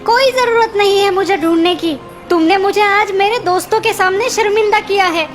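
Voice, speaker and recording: A woman's voice: very high-pitched, normal speed, faint music behind. A woman voicing an emotional, petulant young boy in a children's cartoon, whiny and dramatic. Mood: angry, hurt. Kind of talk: Hindi children's cartoon dialogue.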